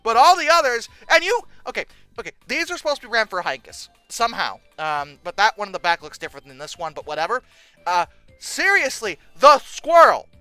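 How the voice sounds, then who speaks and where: Exasperated comedic host, sarcastic and casual, very lively intonation, bright voice, close dry sound over faint music. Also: mid-range voice, a little fast, clear, resonant tone, dry recording, rough recording. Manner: exasperated, sarcastic, incredulous asides. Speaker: host reacting to old book text and pictures. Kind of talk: casual comedic commentary with read-aloud passages.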